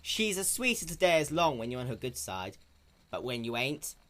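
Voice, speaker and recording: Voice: medium pitch, big pitch swings, moderate pace, fairly bright tone. A performer voicing a plain-spoken, hardworking young country mare with an earnest, folksy rural drawl. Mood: grave.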